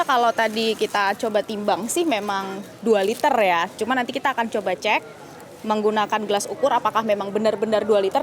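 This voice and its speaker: A woman working as a television reporter on location, conversational and inquisitive, asking questions and addressing viewers. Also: a little high. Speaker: television news reporter presenting an investigation. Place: busy traditional market. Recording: crowd and traffic noise behind.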